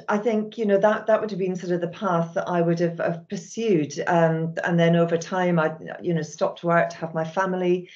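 A middle-aged woman with a Scottish accent, talking in a measured, reflective way and often restarting a phrase. Her voice sits low and dark. The recording sounds a little dull and muffled. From Scotland. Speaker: charity chief executive. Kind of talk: podcast interview answers.

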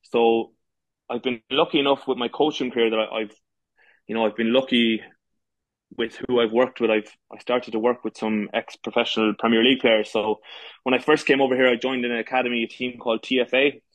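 A man's voice: mid-range voice, little pitch movement, brisk, dry recording, highs cut off. An Irish man in his thirties, a footballer and coach talking as a podcast guest, with many restarts and fillers. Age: 30s. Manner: many false starts and fillers. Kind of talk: casual interview talk.

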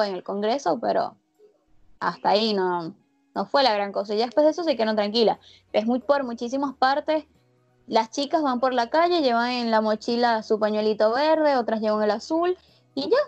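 A Venezuelan woman with a slightly raised voice talks in loose, rambling sentences, stopping and restarting her thoughts. Her Venezuelan accent now and then slips into an Argentine lilt. The audio is muffled and lo-fi, with soft music playing underneath. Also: on the high side. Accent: Venezuelan Spanish with a slight Argentine lilt. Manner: chatty, rambling, many restarts and fillers. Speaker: interviewee. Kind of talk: casual chat.

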